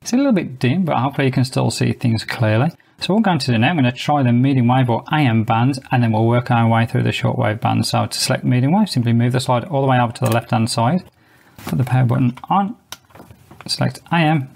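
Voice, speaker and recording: A man's voice: medium pitch, fast, vocal fry, a little mellow, slightly rough. A man reviewing a small shortwave radio, explaining each feature and step methodically as host of his own channel. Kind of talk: product review walkthrough. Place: indoors.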